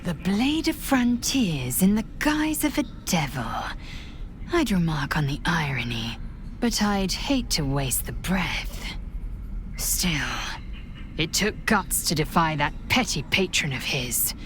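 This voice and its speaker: Low, slow, haughty alien warrior. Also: female voice, very animated, fairly bright tone, slight background noise, heavily acted. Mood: wry, grudging respect. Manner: formal, clipped, imperious. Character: stern, haughty female githyanki warrior, proud and intense. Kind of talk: video game character acting.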